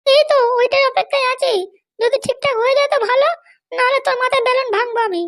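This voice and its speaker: A tiny, extremely high cartoon voice plays a bossy, short-fused wife with political ambitions. She rattles along quickly, scolding and threatening in coarse comic dialogue. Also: flat delivery, a bit bright. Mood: furious, threatening. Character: hot-tempered, foul-mouthed wife running for election. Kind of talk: amateur comedy cartoon dialogue.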